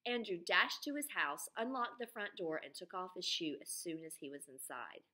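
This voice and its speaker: A woman with a bright, clear-cut voice reads a children's book aloud in a teacher's manner. She is expressive and breaks off often to explain things simply or put questions to her young listeners. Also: lowish voice, normal speed.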